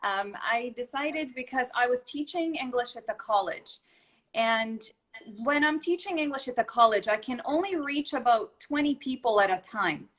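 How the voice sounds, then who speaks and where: A woman's voice: a little high, lively intonation, normal speed, fairly bright tone. A woman born in Serbia, an English teacher, answering interview questions fluently and earnestly over a remote call.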